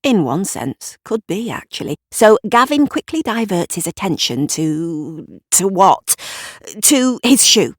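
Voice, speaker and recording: A woman narrating an audio drama in a deliberate, wry, conspiratorial storytelling style. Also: mid-range voice, sing-song, dry recording, studio quality. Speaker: writer and narrator.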